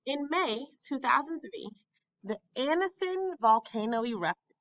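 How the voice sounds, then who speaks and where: A woman reading an educational text aloud as a teacher would, with careful, deliberate enunciation. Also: sits high, lively intonation, a bit bright, no room sound, highs cut off. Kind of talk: educational read-aloud narration.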